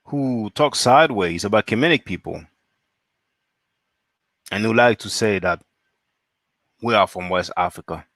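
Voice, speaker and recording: A man's voice: fairly low pitch, a little slow, pauses often, a bit bright, no echo. Guy from france doing a solo live stream, answers chat, lots of rhetorical questions, dry sound.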